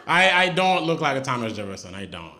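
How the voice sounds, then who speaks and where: Haitian man doing animated stand-up. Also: quick pace. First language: Haitian Creole. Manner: animated, jumps into high mock voices for punchlines. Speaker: stand-up comedian. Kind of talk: stand-up comedy set. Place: comedy stage before a laughing crowd. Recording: loud noise, audience laughter.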